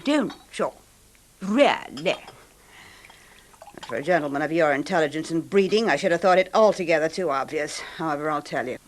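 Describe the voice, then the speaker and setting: An actress playing a scornful, cutting younger wife in a 1970s radio drama, with theatrical, mocking emphasis. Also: female voice, low voice, expressive, normal speed, slight background noise. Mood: sarcastic. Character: bitter, sardonic younger wife of rich recluse.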